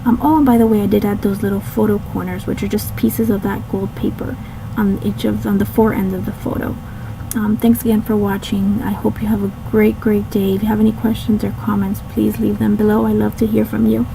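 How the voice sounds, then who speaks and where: A woman with a slightly hoarse, raspy voice casually narrating her craft video, rambling with many ums and restarts. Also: medium pitch, flat delivery, a little fast, background noise. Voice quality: raspy, catching a cold. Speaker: scrapbooking channel host.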